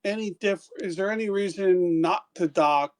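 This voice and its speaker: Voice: mid-range voice, normal speed, a little mellow. A player in a tabletop game, talking casually and asking questions.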